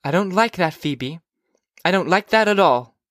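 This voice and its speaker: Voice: mid-range voice, expressive, moderate pace, a bit bright, dry recording, studio quality, voice pushed up, natural voice. A narrator voicing a stern, weary mother who fusses and scolds in an audiobook. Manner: firm, scolding, concerned. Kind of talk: audiobook character dialogue.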